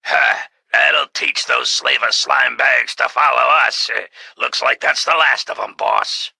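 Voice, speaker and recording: A man playing a gruff, loyal, wry ghoul manservant with a gravelly, raspy voice in a radio adventure serial. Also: mid-range voice, unhurried, bright, forceful tone, full character voice. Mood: gruff satisfaction. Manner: wry, plain-spoken. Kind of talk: old-time radio adventure serial acting.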